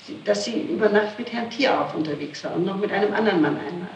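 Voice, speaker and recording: A woman's voice: mid-range voice, animated, quick pace, vocal fry, dull audio. A middle-aged woman answering questions in a recorded interrogation, emphatic, indignant and defensive, on an old tape. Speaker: accused being questioned. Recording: old echoey, noisy tape, distant mic.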